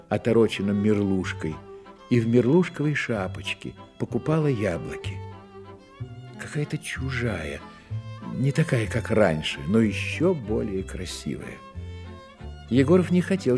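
An actor narrating a Soviet radio drama as an earnest literary storyteller. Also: male voice, lowish voice, mellow. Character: earnest storyteller of a young man's trials.

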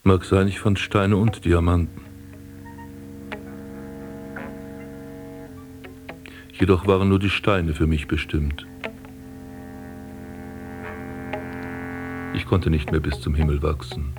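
An actor playing an embittered, melancholy aristocratic prince in a German radio drama, with theatrical, eloquent delivery. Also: male voice, deep voice, level tone, moderate pace, over music. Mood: resigned, melancholy. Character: embittered, melancholy aristocratic prince recalling his wasted life and burial.

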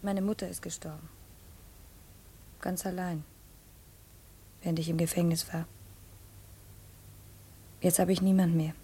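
An actress playing a lonely, gentle young woman with a breathy voice in a 1950s German radio play. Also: on the low side, level tone, measured pace, long pauses, full character voice. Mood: sorrowful, hushed.

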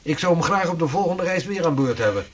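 An actor playing an earnest 16th-century navigator and mapmaker who talks in a measured, formal way. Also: male voice, a little high, lively intonation, fairly bright tone, slight background noise. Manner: measured, formal, old-fashioned speech. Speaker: seafaring cartographer. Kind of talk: radio drama acting.

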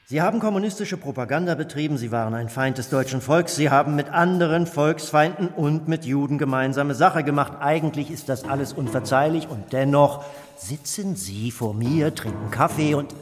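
An actor in a German radio play playing a pompous, menacing Nazi minister who is domineering and mercurial, swinging from soft threats to barking. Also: male voice, a little high, moderate pace, natural voice. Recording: long hall reverb, faint music underneath.

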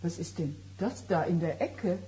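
An actress playing an agitated, suspicious and sarcastic pregnant wife of thirty-nine in a German radio drama, with a biting, questioning delivery. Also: female voice, lowish voice, normal speed, dark tone, a little hiss or hum, lightly acted. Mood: puzzled. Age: middle-aged.

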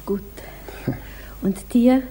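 An actress playing a shy, anxious, yearning widow in a 1950s radio drama, speaking softly and hesitantly, often inwardly. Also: female voice, fairly high pitch, drawn-out words, in a whisper. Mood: shy, tender. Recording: faintly noisy 1950s radio recording.

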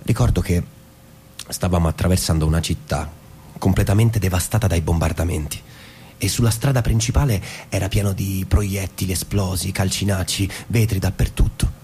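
An actor playing a restless, disillusioned American husband in theatrical stage-drama style, intense and bitter. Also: male voice, low voice, normal speed, a little mellow, a little hiss or hum. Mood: reflective, intimate. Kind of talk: stage-drama acting with literary monologues. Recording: music behind the voice.

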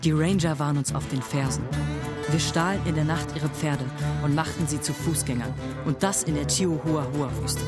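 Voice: mid-range voice, close to an everyday voice. A performer playing an adventurous Apache raider who vividly recounts a youth of raids in a German radio drama. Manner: reminiscing, vivid. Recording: loud guitar music behind.

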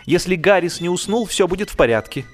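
A man voicing an excitable yet pensive friend on a boating trip in a comic story. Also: high-pitched, quick pace, faint music behind, voice pushed up. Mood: reassuring.

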